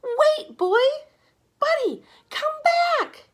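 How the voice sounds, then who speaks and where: A woman's voice: very high voice, lively intonation, drawn-out words, voice pushed up, full character voice. A woman voicing an anxious young boy in a storybook, pleading and calling urgently for his lost dog. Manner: urgent, pleading calls and questions.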